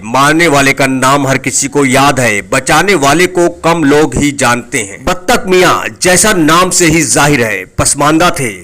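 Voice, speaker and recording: A man's voice: fairly high pitch, sharp tone. A man reading a scripted news feature as a reporter narrating the story.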